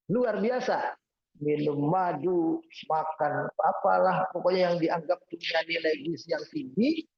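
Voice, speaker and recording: Husky high-voiced man preaching. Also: highs cut off. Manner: explanatory, repeats points with "ya" and "nah"; recites Arabic verses then translates. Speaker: Islamic preacher giving Quran commentary. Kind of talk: religious study lecture.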